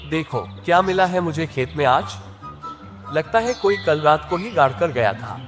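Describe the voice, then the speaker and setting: A man voicing a simple, hardworking village farmer and husband in an animated moral story, with expressive, plain-spoken delivery. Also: fairly high pitch, unhurried, soft background music. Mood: cheerful, practical.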